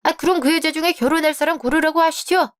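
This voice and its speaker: A woman's voice: high-pitched, fast talker, voice pushed up, close to an everyday voice. A woman voicing a composed young bride-to-be in a radio drama, polite but firm as she argues her point.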